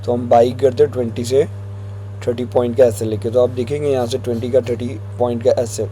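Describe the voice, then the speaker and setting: A man with a hoarse throat, walking viewers through stock chart levels in a relaxed tutorial, mixing in English phrases. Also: medium pitch, fairly quick, a little hiss or hum. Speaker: stock market analyst.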